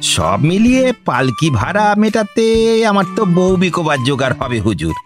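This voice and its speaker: A sly, servile grain trader in a radio comedy. His fairly high voice rises and dips freely as he wheedles and flatters at length, with light music in the recording. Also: normal speed. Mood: pleading, wheedling. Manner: obsequious, long-winded, wheedling flattery. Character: wily, fawning village grain trader pleading with an official. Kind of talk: Bengali radio comedy drama acting.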